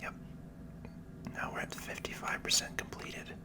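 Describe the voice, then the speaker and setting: A person whispering relaxed, unhurried ASMR commentary while playing a video game. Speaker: video game player and channel host.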